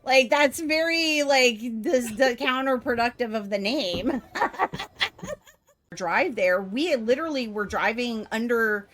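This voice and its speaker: Bubbly chatty woman with a bright voice. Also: fairly high pitch, lively intonation, takes it slowly, a little hiss or hum. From United States. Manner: chatty, giggly, lots of "like" and "you know". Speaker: stream host. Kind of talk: casual chat with a guest.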